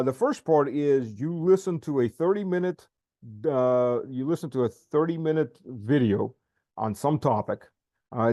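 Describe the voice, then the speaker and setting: A man's voice: mid-range voice, a little mellow, dry recording, studio quality. A middle-aged man, a native Russian speaker, hosting an interview over a video call and talking bluntly and emphatically, often repeating himself. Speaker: podcast host. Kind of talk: casual interview chat.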